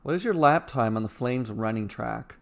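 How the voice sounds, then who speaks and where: Man reading as measured, thoughtful older adventurer. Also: mid-range voice, animated, vocal fry. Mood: questioning. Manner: measured, explanatory. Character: thoughtful, calculating older male reluctant adventurer. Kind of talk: fantasy storytelling character voice.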